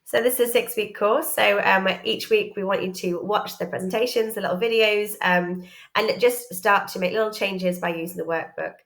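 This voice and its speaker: A woman's voice: mid-range voice, fairly even intonation, moderate pace, a bit bright. A woman presenting an informal course introduction, explaining things piece by piece with hesitant asides. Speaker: educational psychologist and course presenter.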